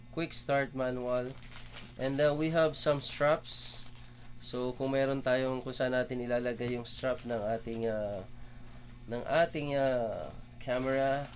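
A middle-aged man with a Filipino accent talks slowly and haltingly through an unboxing, full of fillers. His voice sits at an ordinary middle pitch, picked up by a camera mic off to the side in an indoor room with faint background noise. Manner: unhurried, halting, mixes English and Filipino, many fillers. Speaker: motovlog host. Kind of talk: casual unboxing narration.

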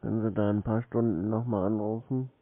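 A man talks casually on the phone in a drowsy, laconic, deadpan way. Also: fairly low pitch, a little fast, dry recording. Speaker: scambaiter taking cold calls. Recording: muffled narrowband phone line.

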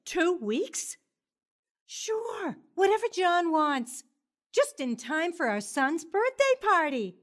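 A woman's voice: extremely high pitch, very animated, slow, dry recording, heavily acted. An actress narrating and playing a troubled young mother in a radio drama, close to tears and emotional. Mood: upset. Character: troubled young mother leaving her husband. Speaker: narrator and lead character.

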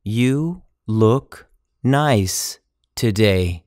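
A man's voice: lowish voice, lively intonation, very slow, a little mellow, no room sound, studio quality. A man with a General American accent reading English phrases for learners, saying each one normally, then word by word. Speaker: English teacher. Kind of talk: slow language-drill reading.

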